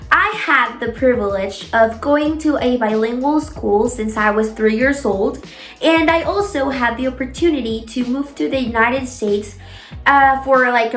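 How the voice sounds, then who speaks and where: A young woman whose native language is Spanish, talking casually as a vlogger giving tips, stressing points with drawn-out words and repetition. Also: fairly high pitch, fairly bright tone. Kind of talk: casual talk to camera. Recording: echoey room.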